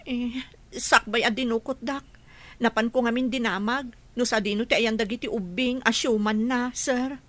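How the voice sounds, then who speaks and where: A woman's voice: fairly high pitch, fairly even intonation, normal speed, natural voice. A woman playing an aggrieved, insistent woman in a radio drama, pleading and emphatic in her delivery. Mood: urgent, accusing. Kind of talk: radio drama acting in Ilocano.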